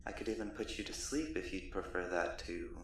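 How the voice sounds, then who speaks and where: A genteel, gloomy vampire played by a man holds his captive in an ASMR roleplay, condescending and softly threatening. His low voice crackles with fry, set back from the mic in a very echoey space. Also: animated, a little fast, recorded from a distance. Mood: coaxing, condescending. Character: courtly male vampire captor, gloomy, politely menacing. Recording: roomy echo, off the mic, faint noise.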